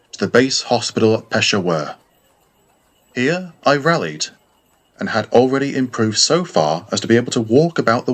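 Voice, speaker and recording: Man giving a measured, formal literary narration. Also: medium pitch, fairly bright tone. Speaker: audiobook narrator. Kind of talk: scripted first-person narration of a classic novel.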